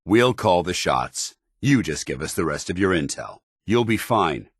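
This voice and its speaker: Deep-voiced, stern military paladin. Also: male voice, big pitch swings, measured pace, husky, no echo, clean studio sound, full character voice. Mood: firm, steadying. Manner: formal, commanding, earnest military delivery. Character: stern, honor-bound male military paladin of a soldierly brotherhood. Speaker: voice actor. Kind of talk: video game companion dialogue.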